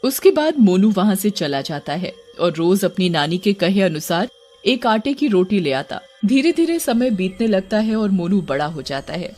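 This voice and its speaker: A man's voice: high-pitched, big pitch swings, normal speed, darkish tone, faint music behind. A man narrating a scripted horror bedtime story as the storyteller.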